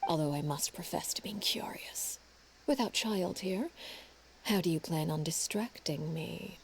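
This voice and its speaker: A woman voicing a calm, quietly threatening commander in a game who speaks in a measured way. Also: fairly low pitch, vocal fry, slight background noise, low-quality recording. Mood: menacing. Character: cool menacing woman commander, knowing and patient.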